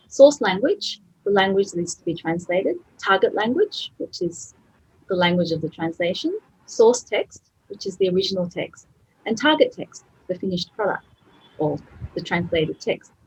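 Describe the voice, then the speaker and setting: A middle-aged woman giving a chatty, lively presentation to colleagues. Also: medium pitch, breathy edge, low-quality recording. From Australia. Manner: lively and chatty, laughs easily. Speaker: conference speaker.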